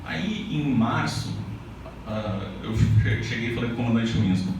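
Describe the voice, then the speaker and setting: A man from Paraná talks casually to a live audience at an indoor event, often breaking off and restarting his sentences. His voice is heavily echoey and sounds far from the mic, with clear room ambience behind him. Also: medium pitch, unhurried, noisy background. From Paraná, Brazil. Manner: loose and conversational, with frequent restarts. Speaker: author at a book launch. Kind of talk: speech to an audience.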